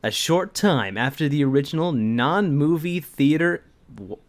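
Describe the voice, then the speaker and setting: Male host, mid-pitched and very animated, unhurried mock-dramatic reading with amused asides. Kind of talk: casual comedic reading with commentary.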